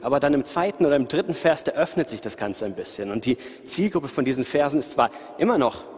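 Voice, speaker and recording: A man from the Ruhr area with a light Ruhr accent, preaching in a relaxed, conversational way and talking straight to his listeners. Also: medium pitch, fast. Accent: light Ruhr German. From Ruhr area, Germany. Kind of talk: sermon. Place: church hall, live audience and online stream. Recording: reverberant hall.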